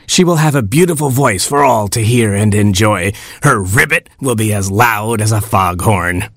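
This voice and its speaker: A cigar-chomping bumblebee boss, voiced by a man, talking in a husky, dry tone. Brash and proud, a storybook character. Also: on the low side, expressive, normal speed, fairly bright tone, no room sound, full character voice.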